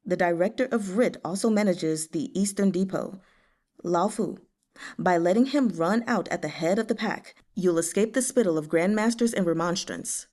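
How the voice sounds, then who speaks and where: A woman's voice: lowish voice, moderate pace, a little mellow, natural voice. A woman voicing a shrewd young nobleman in a storybook, assured, dismissive and dryly reassuring. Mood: dry, advising.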